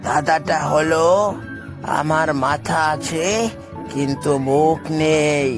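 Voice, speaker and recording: A man's voice: on the high side, level tone, slow pace. A man voicing a stern river guardian who sets riddles in a children's cartoon, commanding, with music behind. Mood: stern, testing.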